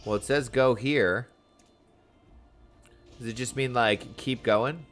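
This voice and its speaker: A man's voice: on the low side, vocal fry, a bit bright. A man doing casual livestream game commentary, chatty and playful with sing-song asides. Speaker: game streamer.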